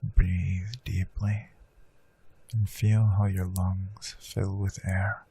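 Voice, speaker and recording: Slow raspy guy doing a guided meditation, low deep voice, half whispering affirmations. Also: flat delivery, warm tone, soft background music. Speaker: hypnosis guide.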